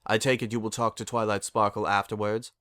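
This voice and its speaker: A man's voice: on the low side, little pitch movement, a little fast, a bit bright, smooth, no echo, clean studio sound, natural voice. Man voicing a stern, imperious pony princess. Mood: measured, regal. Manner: formal archaic phrasing, commanding.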